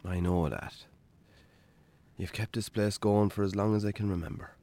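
Actor as low-voiced, put-upon Irish farmer. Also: male voice, fairly even intonation, moderate pace, dark tone, close to an everyday voice. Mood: caring, patient. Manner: colloquial, dryly sarcastic. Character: Irish bachelor farmer, heavy drinker, defensive, put-upon. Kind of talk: naturalistic radio drama acting.

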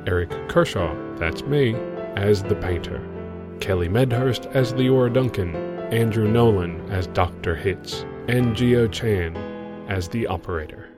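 Male announcer for audio drama credits: low voice, scripted read, faint music bed. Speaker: writer and director reading credits.

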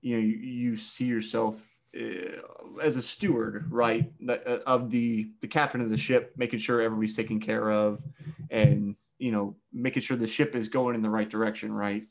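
A man hosting a podcast, asking relaxed, reflective questions with plenty of fillers. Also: lowish voice, normal speed, gravelly, low-quality recording. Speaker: podcast host. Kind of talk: podcast interview.

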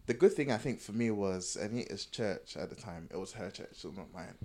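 A man's voice: on the low side, animated, brisk, darkish tone, a little hiss or hum. A young man chatting casually and candidly as a podcast co-host, repeating phrases as he explains. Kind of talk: casual, candid group chat.

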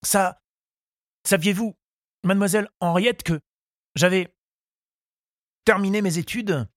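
A man's voice: high voice, measured pace, no echo, studio quality, pitched above the performer's own voice. A man voicing a shy, earnest young suitor in an audiobook, hesitant and deferential, stammering as he speaks. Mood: nervous, eager.

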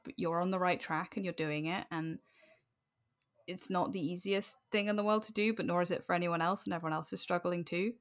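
Lower-pitched young British woman, chatty. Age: late 20s. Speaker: podcast guest, writer. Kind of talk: candid conversational interview.

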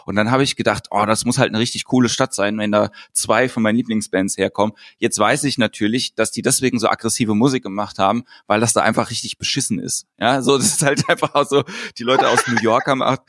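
A man with a lowish voice tells stories in an unhurried way, with dry humor. The sound is dry and close to the mic, with no room echo. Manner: relaxed storytelling, dry humor. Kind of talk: casual podcast chat.

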